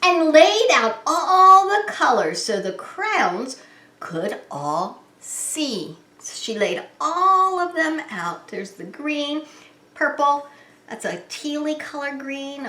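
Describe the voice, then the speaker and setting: A woman's voice: sits high, bright, forceful tone. A woman hosting story time as a preschool teacher, reading a picture book aloud and explaining it simply and expressively to young children. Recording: roomy, echoing indoor sound.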